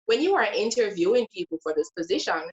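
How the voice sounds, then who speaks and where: A woman's voice: mid-range voice, big pitch swings, quick pace, muffled recording. A woman guest sharing her Bible study in a casual faith conversation. She speaks earnestly and with emphasis, stretching out key words like a teacher.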